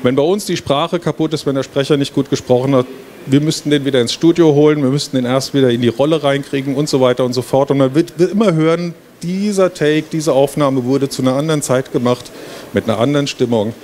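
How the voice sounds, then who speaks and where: Middle-aged male presenter, mid-pitched voice, explaining informally to an audience, noticeable fair-hall noise behind. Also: quick pace, a little mellow. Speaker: game developer presenting. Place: stage at a book fair hall. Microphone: handheld microphone. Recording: clear background noise, fair ambience. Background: trade fair hall ambience.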